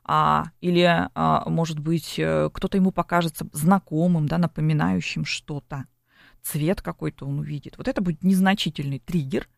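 A woman's voice: deep voice, fairly even intonation, moderate pace, creaky, no echo, clean studio sound. A woman speaking as a psychologist guest in a broadcast interview, explaining at length like a lecturer and often checking in with short tag questions.

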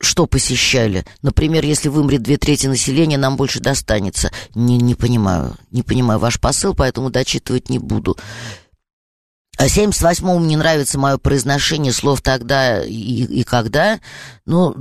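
A woman's voice: extremely low pitch, lively intonation, moderate pace, dry recording, clean studio sound. A woman with a Moscow accent hosting a radio call-in show, hesitant, with many fillers and stuttered starts. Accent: Moscow Russian.

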